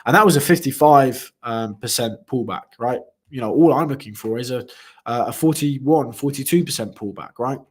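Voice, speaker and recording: A man's voice: mid-range voice, normal speed. A young man hosting a live trading stream, talking casually to viewers with many fillers and restarts. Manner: speaks to chat viewers directly. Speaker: crypto trading livestream host.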